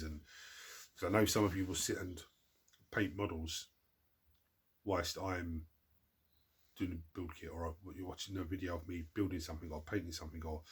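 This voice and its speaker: A low-voiced man with a husky, breathy tone, talking casually to his viewers. He sounds blocked up from a cold and tends to ramble, doubling back and restarting his words. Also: fairly bright tone. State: congested from a cold. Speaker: hobby channel host answering viewer questions. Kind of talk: casual chat.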